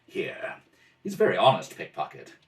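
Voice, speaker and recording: A suave, easygoing retired sleuth from an old radio play, read by a man. He is dry and teasing with lively intonation, heard slightly away from the mic. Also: sits low, moderate pace, pitched below the performer's own voice, heavily acted. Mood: wry, teasing. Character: suave, wry, easygoing retired male detective husband. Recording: home kitchen, distant mic.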